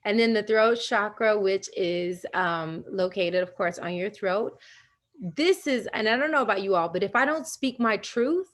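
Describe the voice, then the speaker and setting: A woman's voice: mid-range voice, normal speed. Chatty woman leading a wellness workshop. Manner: chatty and personal, laughs often, acts out quoted voices. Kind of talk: live virtual workshop teaching. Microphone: video call.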